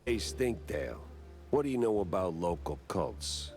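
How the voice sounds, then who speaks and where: An actor playing a world-weary, hard-boiled mouse detective with an American accent in a noir cartoon game, wry, deadpan and terse. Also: male voice, low voice, unhurried, soft background music, full character voice. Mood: weary, brooding.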